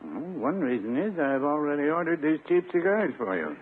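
An actor playing a cautious, henpecked older small-town druggist in 1950s radio comedy, with folksy, hesitant understatement. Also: male voice, fairly high pitch, big pitch swings, normal speed. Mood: dry. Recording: muffled, faintly noisy old radio broadcast.

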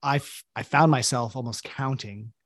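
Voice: medium pitch, moderate pace, no room sound, studio quality. A co-host chiming in on a casual podcast chat in a matter-of-fact way.

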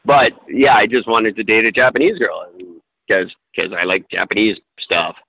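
A man's voice: lowish voice, expressive, moderate pace, gravelly, sharp tone, a little hiss or hum, low-quality recording. A man in casual podcast chat, talking in a rambling, self-deprecating way with many fillers and restarts. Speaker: podcast co-host.

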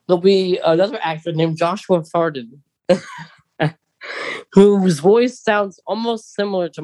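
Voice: medium pitch, a little mellow, no echo, low-quality recording. A podcast co-host chatting casually and animatedly about a fan topic.

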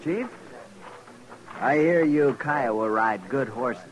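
A man's voice: mid-range voice, expressive, slow pace, lo-fi sound. A man in a 1950s radio western playing a cocky, goading Army lieutenant with a mocking swagger. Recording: noisy vintage radio drama recording.

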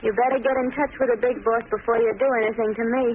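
A woman's voice: fairly high pitch, steady tone, very fast, natural voice. An actress playing a desperate, determined young woman in a 1930s radio crime drama. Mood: defiant, tense. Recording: noisy, phone-like vintage radio recording.

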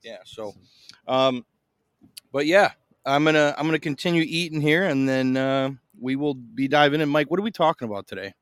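A man in a mid-range voice chats loosely through a fantasy football podcast, full of fillers and easy laughs. His voice is close and dry, with almost no room around it. He talks between bites of a meal, relaxed and unhurried. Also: mid-range voice, smooth, no echo. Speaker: podcast co-host. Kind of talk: casual fantasy football chat. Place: in Boston, eating a meal while recording.

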